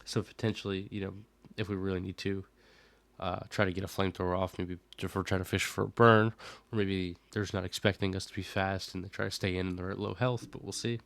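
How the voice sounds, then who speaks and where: A man's voice: deep voice, little pitch movement, a little fast, creaky, fairly bright tone, dry recording. A young man who is a little sick, casually walking through his team for a competitive league match, thinking aloud with fillers and self-corrections. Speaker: Pokémon league player and video host. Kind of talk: casual team breakdown commentary.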